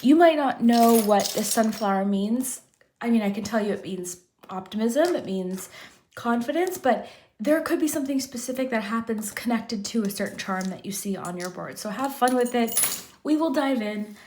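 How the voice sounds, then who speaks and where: A woman's voice: fairly high pitch, darkish tone, a little hiss or hum. Chatty woman hosting a tarot reading. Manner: chatty, rambling, frequent "kind of" and restarts. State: losing her voice. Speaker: tarot reader, channel host. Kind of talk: casual pick-a-card tarot chat to camera.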